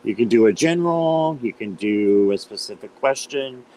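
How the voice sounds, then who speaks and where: A man with a slight cold and a hoarse voice, chatting casually as he hosts a live tarot stream and greets viewers by name. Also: mid-range voice, animated, normal speed, mellow, poor audio.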